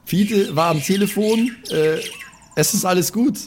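A man's voice: sits high, measured pace, a little hiss or hum. A man role-playing in an improvised game, talking in a brash, irritable, sweary way. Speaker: player in a pen and paper audio show. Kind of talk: improvised in-character role play.

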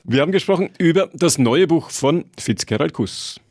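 Male radio host, smooth announcer way of talking, dry studio mic. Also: fairly high pitch, lively intonation, moderate pace, a little mellow, no room sound. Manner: smooth, announcer-like, brief prompting questions. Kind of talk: radio interview hosting.

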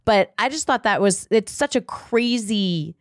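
A woman's voice: mid-range voice, expressive, unhurried, no echo. Woman podcaster in a dry studio. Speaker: podcast co-host. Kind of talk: casual conversational chat.

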